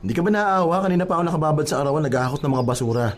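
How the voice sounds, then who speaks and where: High-pitched, cheeky Filipino workman played by a man. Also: lively intonation, very fast, close to an everyday voice. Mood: complaining, showing off. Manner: teasing, playful, cajoling. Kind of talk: Filipino radio-style comedy drama.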